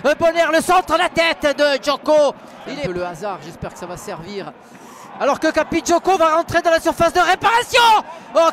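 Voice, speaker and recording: A man commentating live on football for radio, excitable and partisan, repeating his phrases. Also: very high voice. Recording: loud stadium crowd and noise. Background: stadium crowd.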